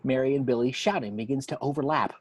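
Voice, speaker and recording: A man's voice: medium pitch, fast talker. A man narrating screenplay action lines at an amateur table read in a matter-of-fact storytelling way.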